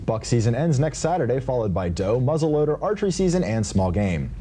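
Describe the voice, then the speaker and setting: A young male anchor reads scripted news in a mellow voice with very varied intonation. Faint room noise is audible. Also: mid-range voice, big pitch swings, brisk. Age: young adult. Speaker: student newscast anchor.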